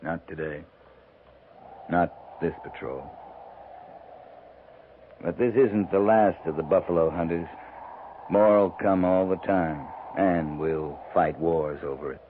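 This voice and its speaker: A man with an American accent playing a grave, world-weary cavalry captain in a 1950s radio western, speaking in terse, deliberate phrases. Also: deep voice. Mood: weary resolve. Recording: muffled, noisy 1950s radio recording.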